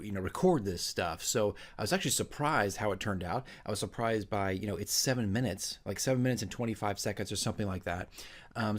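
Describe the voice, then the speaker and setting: A man with a fairly low voice talks in a casual, unscripted way. He introduces his work as a game master, rambling a little and pausing as he finds his words. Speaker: dungeon master introducing his radio drama.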